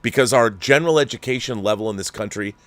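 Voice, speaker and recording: Guy ranting hard on his show, swears a lot, pitch jumps around, dead dry mic. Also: fast talker, no room sound. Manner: heated, profane, lively pitch swings. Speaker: show host. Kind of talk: ranting commentary.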